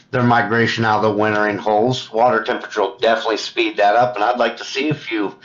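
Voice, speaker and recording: A middle-aged man chatting casually about fishing as a guest angler, halting and repeating words as he thinks aloud over a remote call. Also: fairly low pitch, a bit bright. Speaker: guest, competitive kayak angler. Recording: dull lo-fi call audio.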